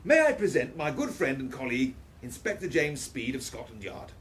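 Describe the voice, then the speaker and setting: A man playing a genial, well-mannered gentleman uncle and inventor in a period radio play. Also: medium pitch, sing-song, moderate pace, natural voice. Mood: genial, welcoming. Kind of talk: audio drama acting. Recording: clearly noisy background ambience.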